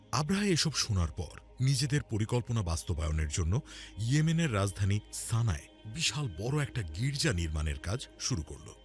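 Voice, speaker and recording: Deep-voiced animated male storyteller over music. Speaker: narrator. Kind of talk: scripted dramatic storytelling narration for a religious cartoon. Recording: music under the voice. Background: background music.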